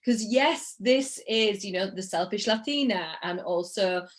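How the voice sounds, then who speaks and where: A woman's voice: mid-range voice, a little slow. Woman, Yorkshire accent, podcast host, effusive, repeats words for emphasis, casual chat. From England, Yorkshire.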